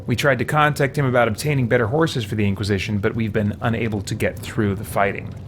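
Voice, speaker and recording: A man's voice: lowish voice, steady tone, fast, a little hiss or hum. A man hosting a let's play, thinking aloud and reading game text as he goes.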